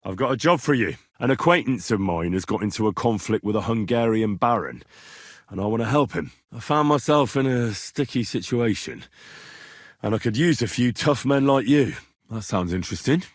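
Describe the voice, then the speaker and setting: A man playing a plucky, wry young medieval adventurer in video game dialogue, conversational and dry, with a slightly husky voice. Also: medium pitch, expressive, moderate pace, no room sound, natural voice. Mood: businesslike, determined.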